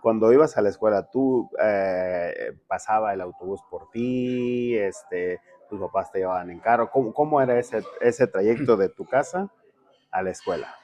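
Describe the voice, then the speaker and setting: A man's voice: on the low side, normal speed, dark tone, dull audio, slight background noise. A man from Mexico hosting a podcast interview, friendly and full of questions, often restarting his words. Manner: friendly, asks many questions, repeats words when restarting. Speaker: podcast host. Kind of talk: casual interview.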